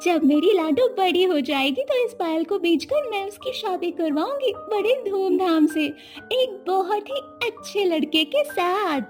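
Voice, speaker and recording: A woman voicing a poor village wife and young mother, insistent and distrustful, in an animated story. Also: very high voice, unhurried, faint music behind, voice pushed up. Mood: scolding, stubborn. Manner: scolding, insistent.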